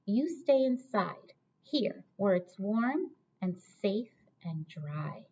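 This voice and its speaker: A woman's voice: medium pitch, very animated, takes it slowly, mellow, far from the mic, heavily acted. A woman voicing a protective, watchful mother from a children's storybook, on a dull recording. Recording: dull, lo-fi sound.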